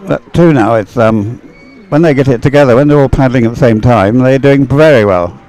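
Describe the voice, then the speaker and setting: A man's voice: mid-range voice, a little fast, darkish tone, rough recording. A man giving unscripted live race commentary in an understated way, thinking aloud as he goes. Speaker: sports commentator.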